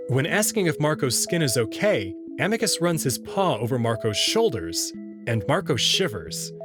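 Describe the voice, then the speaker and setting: A man giving scripted narration and commentary as a host, with wry, playful asides. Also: medium pitch. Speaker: host reading a visual novel and commenting on it.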